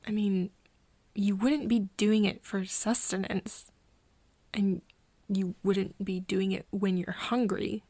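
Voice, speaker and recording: A woman playing a hesitant, caring young human friend in a soft ASMR roleplay, breathy and often dropping into a whisper, speaking haltingly. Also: medium pitch, little pitch movement, dry recording. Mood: puzzled, hushed. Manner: halting, hesitant, with nervous giggles. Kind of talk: soft ASMR roleplay acting addressed to the listener.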